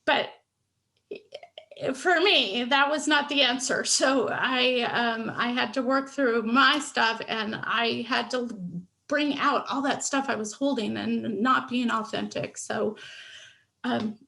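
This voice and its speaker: A woman's voice: fairly high pitch, slow pace, creaky, bright, forceful tone. A woman speech pathologist sharing her personal story on a video call panel, candid, stuttering now and then with fillers and restarts.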